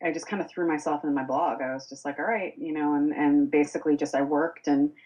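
Low-voiced chatty young woman. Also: steady tone, brisk, mellow, muffled recording. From Boston. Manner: chatty, many fillers and restarts. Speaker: podcast guest, music blogger. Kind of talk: casual interview chat.

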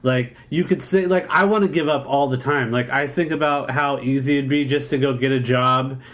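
A man's voice: mid-range voice, fairly even intonation, moderate pace, fairly bright tone. A man interviewing an artist, reflecting in a casual way and using "like" a lot. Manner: reflective, filler-heavy, lots of "like".

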